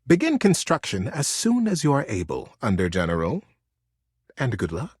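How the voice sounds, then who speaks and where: A man voicing an aged female animal leader, grave and commanding, with deliberate, weighty speech in a storybook tale. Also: medium pitch, wildly swooping pitch, husky, no echo, clean studio sound.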